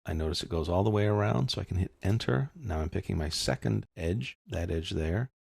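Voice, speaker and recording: A man narrating a step-by-step software tutorial as an instructor, explaining in an informal way. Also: low voice, lively intonation, fairly quick, gravelly, breathy edge, no room sound, clean studio sound.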